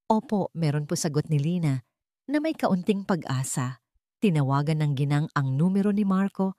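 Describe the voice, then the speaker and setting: A woman reads a dramatic audio story in a low, soft-edged voice. The tone is mellow and dark, and the sound is close and dry, as a scripted narration. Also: lively intonation, moderate pace, no room sound.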